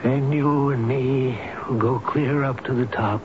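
An actor playing a gentle, loyal old elevator operator in a radio drama, speaking in a halting, earnest, folksy and tender way. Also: male voice, mid-range voice, fairly even intonation, natural voice. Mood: tender, confiding. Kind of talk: 1970s radio drama acting. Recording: noisy muffled old radio broadcast recording.

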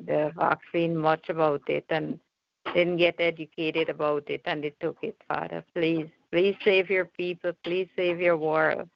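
A woman with a fairly low, gravelly voice prays fervently and spontaneously. She pleads, circling back to the same appeals. The audio is dull and lo-fi. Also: no echo. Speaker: prayer group member leading prayer. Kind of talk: fervent extemporaneous prayer.

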